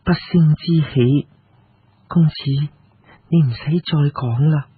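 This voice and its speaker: A man voicing a shy, devoted young woman, a concubine who speaks softly and deferentially in an audio storybook. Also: a little high, slow pace, slightly rough, narrow, tinny audio, full character voice. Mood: tender.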